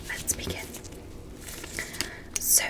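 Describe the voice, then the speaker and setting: A gentle, curious female alien doctor played by a woman for an ASMR medical roleplay. She whispers throughout at a very slow pace. Her manner is soothing, formally polite and encouraging, as if guiding a human patient through an exam. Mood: calm, attentive.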